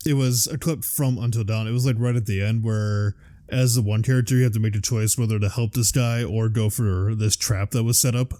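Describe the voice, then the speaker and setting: Mellow, casual man in close dry sound. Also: fairly low pitch, fairly even intonation, fairly quick, no room sound. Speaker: podcast co-host. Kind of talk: casual chat about games and films.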